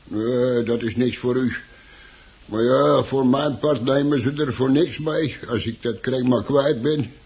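An actor in a radio play playing a chatty, grumbling plant shop keeper who hesitates, stammers and repeats himself. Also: male voice, mid-range voice, measured pace, darkish tone, lightly acted. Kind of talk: Dutch radio play acting. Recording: dull, muffled old radio recording.

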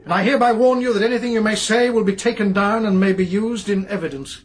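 An actor playing a stern police inspector with an official, declamatory manner in a radio mystery. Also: male voice, high voice, fast talker, natural voice. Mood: stern, formal. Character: stern English police inspector making a formal arrest. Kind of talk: radio mystery drama acting. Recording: faint background noise.

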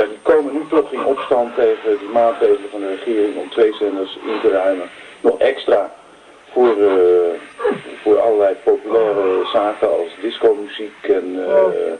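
Man as earnest pirate station activist, halting speech, lower voice, noisy recording. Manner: halting, full of hesitations. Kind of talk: radio play acting.

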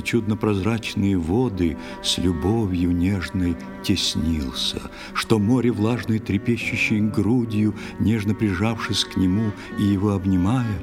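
A man narrating a poetic old tale for a children's audio play in a measured, expressive way, with drawn-out phrases. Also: fairly low pitch. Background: music.

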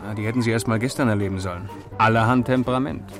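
An actor playing a dutiful police assistant who reports briskly and deferentially in an old radio drama. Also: male voice, lowish voice, fairly even intonation, over music, lightly acted. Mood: chatty. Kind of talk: 1960s German radio drama acting.

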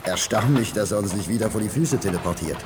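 An actor playing a blunt, excitable and jovial astronaut sidekick in a 1980s German radio play. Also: male voice, lowish voice, fast, close to an everyday voice. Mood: gruff, surprised. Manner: blustery, colloquial, exclaiming. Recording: noisy 1980s audio drama recording.

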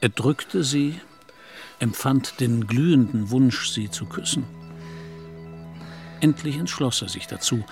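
A man with a mid-pitched, distinctly gravelly voice reads scripted literary narration for a radio play. There is a creaky fry in his tone. Faint music plays behind him. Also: takes it slowly, pauses often, darkish tone.

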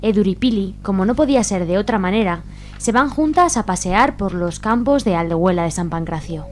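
A woman's voice: mid-range voice, animated, a little fast, slight background noise. A woman reading scripted narration for a comic radio serial.

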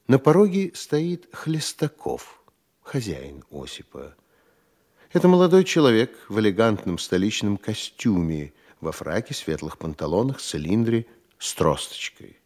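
Deep-voiced male narrator, lively intonation. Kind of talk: scripted audio-play narration of stage directions.

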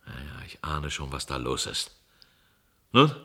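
An actor playing an irascible, suspicious boss of a dystopian control center in a German science-fiction radio drama, grumbling and commanding impatiently. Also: male voice, very deep voice, very animated, unhurried, bright, forceful tone, exaggerated voice. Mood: grim suspicion.

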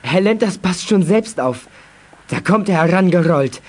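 A man's voice: high-pitched, expressive, a little slow, a little mellow. A young male actor playing a cheerful, clever schoolboy in a children's detective radio play. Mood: amused.

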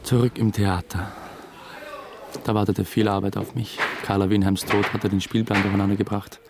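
Male actor, obsessive theatre man, hesitant radio drama confession, low-pitched, even delivery. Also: moderate pace, noisy background, lightly acted. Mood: matter-of-fact. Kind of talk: first-person radio drama narration. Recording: music under the voice. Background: music.

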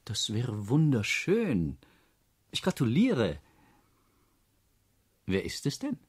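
A man's voice: mid-range voice, wildly swooping pitch, slow pace, darkish tone, husky, exaggerated voice. An actor playing a stiff, educated, self-disciplined brother in a German radio play, formal and reserved in his replies. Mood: dryly ironic.

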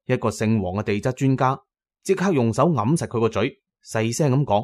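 A man's voice: medium pitch, expressive, fairly quick, a little mellow. A man with a Cantonese accent narrating an adventure novel as scripted, dramatic storytelling. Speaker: audiobook narrator.

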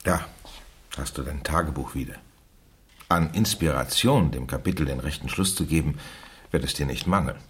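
An actor playing a methodical, encouraging gentleman who explains a case in a radio crime drama, husky and emphatic. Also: extremely low pitch, normal speed, long pauses, heavily acted. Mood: kindly.

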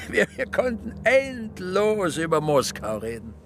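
An actor playing a haunted Gulag prisoner recounting camp life in a literary radio play. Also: male voice, high voice, big pitch swings, slow pace, a little hiss or hum, heavily acted. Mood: haunted, recollecting. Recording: music behind the voice. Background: music.

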